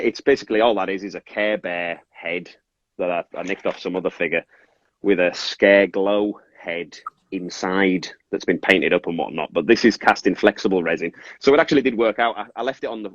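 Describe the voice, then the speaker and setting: Rambling low-voiced northern English man. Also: moderate pace. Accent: Cumbrian northern English with Scottish influence. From Carlisle, Cumbria, northern England. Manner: rambling, self-interrupting, apologetic about tangents. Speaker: toy maker and resin artist, guest. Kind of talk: casual, informal craft explanation. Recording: muffled, echoey room sound.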